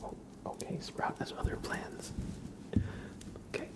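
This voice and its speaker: A man hosting a relaxed ASMR livestream, chatting casually and softly up close to a sensitive microphone. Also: unhurried. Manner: relaxed, soft-spoken.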